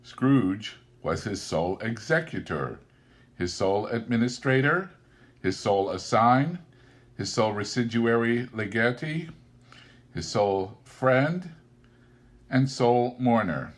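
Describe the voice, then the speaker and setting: A man reading a classic story aloud as a narrator, with deliberate, theatrical and emphatic phrasing. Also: medium pitch, animated. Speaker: audiobook narrator.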